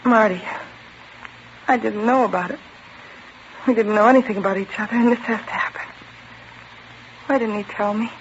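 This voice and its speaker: A heartbroken young woman pleads and frets over her lover, as an actress plays her in a 1950s radio drama. Her voice sits high, and the old recording sounds dull and muffled. A faint hiss lies under it. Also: normal speed, pauses often, close to an everyday voice. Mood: pleading. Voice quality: emotional, pleading. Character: distraught young woman in love with a suspect.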